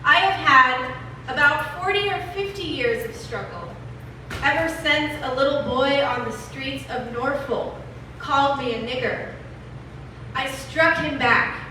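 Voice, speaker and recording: A woman playing a determined veteran civil rights organizer, delivering a rally speech with deliberate, emphatic pauses. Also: high voice, bright, forceful tone. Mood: rousing. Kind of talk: dramatic reading of a rally speech. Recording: distant, very echoey room, faint noise.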